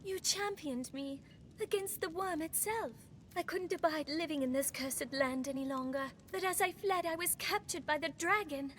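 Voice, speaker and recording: A woman's voice: extremely high pitch, moderate pace, fairly bright tone, a little hiss or hum. A woman playing a tender, grateful young noblewoman in a fantasy game, speaking with formal, archaic, earnest devotion. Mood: fervent relief. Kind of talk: dramatic fantasy game acting.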